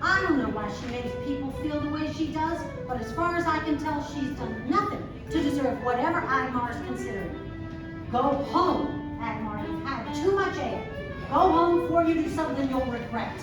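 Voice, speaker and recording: Woman acting a firm, high-voiced village woman, very echoey. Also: expressive, normal speed. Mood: stern. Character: Marie, firm outspoken village woman defending a girl. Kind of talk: amateur table-read acting. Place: indoor public venue table reading. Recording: echoey room, distant mic, music behind.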